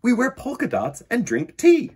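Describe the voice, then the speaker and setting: A man's voice: very high-pitched, wildly swooping pitch, normal speed, breathy edge, voice pushed up, heavily acted. Man reading as cheerful, eager female storybook animal. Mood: excited. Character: cheerful, busy female animal planning a tea party. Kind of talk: children's storybook character reading.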